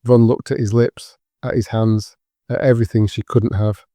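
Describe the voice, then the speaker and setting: A man narrating dramatic fiction for an audiobook in a sombre, measured, scripted manner. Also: on the low side, flat delivery, mellow, no room sound, clean studio sound.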